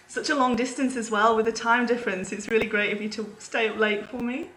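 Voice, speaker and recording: A British-born woman in her thirties, now living in Australia, chatting as a guest in a relaxed interview with frequent ums. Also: on the high side, fairly quick, reverberant, a little hiss or hum, low-quality recording. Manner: chatty, laughs easily, fillers like um. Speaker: guest, vegan podcast host. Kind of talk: casual interview chat.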